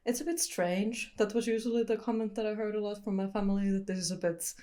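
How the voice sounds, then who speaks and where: A young woman from Finland, a photographer answering interview questions candidly and thoughtfully, often pausing to search for words. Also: medium pitch, steady tone, dark tone. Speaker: photographer guest.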